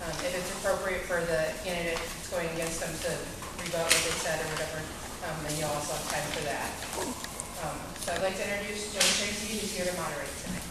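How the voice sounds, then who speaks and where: A woman organizer opening a town meeting with announcements, hesitant with many pauses and ums. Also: fairly low pitch, steady tone. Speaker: community club organizer. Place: community center hall. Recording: echoey hall from far off, noisy.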